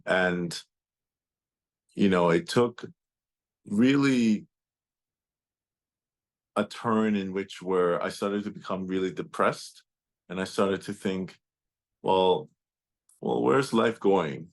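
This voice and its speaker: A man whose first language is German, telling his life story on a video call in a thoughtful, reflective way, pausing often and restarting his sentences. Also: deep voice. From Turks and Caicos Islands and Germany. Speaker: guest speaker. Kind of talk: personal storytelling.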